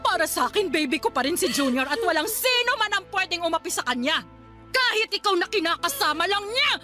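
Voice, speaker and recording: A woman's voice: very high-pitched, very animated, fairly quick, bright, forceful tone, soft background music, heavily acted. A woman playing a domineering, quarrelsome middle-aged mother in a Filipino radio drama, scolding and confrontational as she defends her grown son. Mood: indignant, menacing. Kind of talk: Tagalog radio drama acting.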